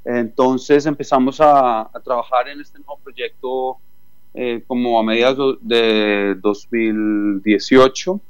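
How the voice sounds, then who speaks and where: A man from Chile who speaks with a Colombian accent, talking in a mid-range voice. His delivery is relaxed and halting, full of "eh" pauses. The sound is dry and close. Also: medium pitch, clean tone, no room sound, dull audio. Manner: relaxed and thoughtful, many "eh" pauses. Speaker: musician guest. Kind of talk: phone interview.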